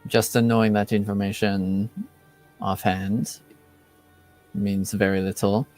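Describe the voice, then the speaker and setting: A man improvising narration as a tabletop game master, halting as he searches for words. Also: fairly low pitch, level tone, a little mellow, faint music behind.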